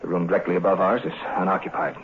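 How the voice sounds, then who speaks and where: An American actor playing a frightened husband keeping a diary in an old radio horror drama, speaking gravely and deliberately. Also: male voice, sits low, fairly even intonation, heavy background noise. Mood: tense. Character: frightened husband recording his dread in a diary. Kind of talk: 1940s-50s American radio horror acting. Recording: muffled old radio recording, music behind.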